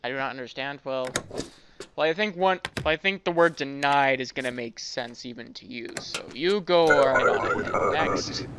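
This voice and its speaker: A man's voice: mid-range voice, moderate pace, a bit bright, slight background noise. A man giving casual gameplay commentary, rambling and thinking aloud as he plays. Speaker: gaming video host.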